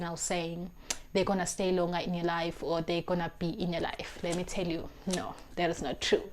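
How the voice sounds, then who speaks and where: A woman's voice: lowish voice, a little mellow. A South African woman in her twenties giving life advice to camera, earnest and emphatic, often repeating words as she searches for the next one, on a lapel mic in a small room. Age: 20s. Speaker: vlogger. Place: small indoor room, plain wall.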